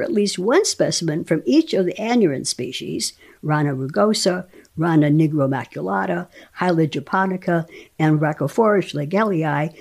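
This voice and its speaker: A woman reading a scientific paper aloud as a guest dramatic reader, enunciating technical terms deliberately. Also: deep voice, darkish tone.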